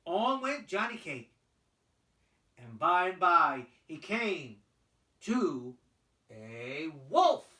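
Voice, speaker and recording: A bright-voiced storyteller narrates a folktale theatrically, with wide swings of pitch. The recording is roomy, with the voice some way from the microphone. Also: big pitch swings, far from the mic. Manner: theatrical, deliberate phrasing for children. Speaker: puppet show storyteller. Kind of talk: animated scripted folktale narration.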